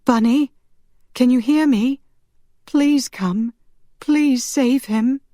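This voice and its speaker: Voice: mid-range voice, fairly even intonation, normal speed, mellow, no echo, studio quality, pitched above the performer's own voice, close to an everyday voice. A narrator voicing a tender, distraught young female angel with an earnest, pleading manner in an audiobook. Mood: desperate pleading.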